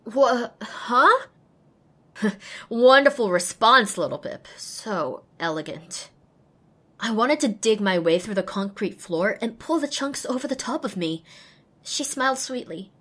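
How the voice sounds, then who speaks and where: Woman voicing young female pony apprentice, high voice, earnest, self-deprecating, expressive storytelling, audio drama. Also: unhurried, breathy edge, exaggerated voice. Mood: flustered. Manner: expressive, wry. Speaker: lead and first-person narrator.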